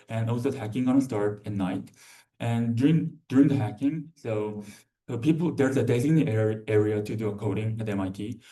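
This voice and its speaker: A young man giving an informal student presentation, halting and repetitive, peppering his sentences with "like". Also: fairly low pitch, mellow. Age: young adult. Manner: halting, repetitive, heavy use of "like". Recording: distant microphone.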